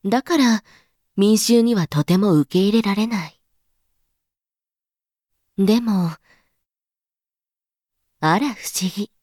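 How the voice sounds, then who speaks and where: Haughty female schemer, voice drama, medium pitch, unhurried delivery, teasing and knowing, amused, dry close sound. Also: darkish tone, no room sound. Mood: sly, mocking. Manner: teasing, haughty, feminine speech with knowing asides. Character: scheming, amused elite woman who secretly engineered a revolution. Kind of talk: voice drama monologue.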